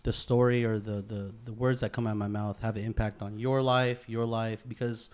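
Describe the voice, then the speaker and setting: A man's voice: lowish voice, little pitch movement, moderate pace, a little mellow, clear, resonant tone. A man chatting casually on a podcast, earnest and slangy, often repeating himself and swearing. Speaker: podcast co-host.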